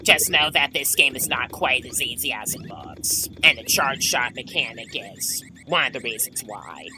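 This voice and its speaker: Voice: medium pitch, animated, moderate pace, noisy background. A video game commentator giving casual gameplay commentary, explaining things and adding personal opinions. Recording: game music behind the voice. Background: video game music.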